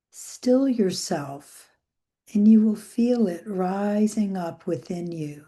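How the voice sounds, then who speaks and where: A woman leading a guided meditation in a gentle, soothing way, with soft phrase endings that trail into breath. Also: medium pitch, expressive. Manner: gentle, soothing, unhurried with long pauses.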